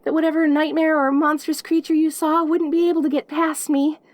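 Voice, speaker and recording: A very high, girlish demon played by a woman, clingy and devoted in a yandere way. She speaks eagerly, tripping over her words, close and dry as if alone with the listener in an audio roleplay. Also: level tone, fairly quick, dry recording, lightly acted. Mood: eager, doting. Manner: eager, stammering, giggly, devoted. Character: perky, lonely, clingy yandere demon girl, centuries old.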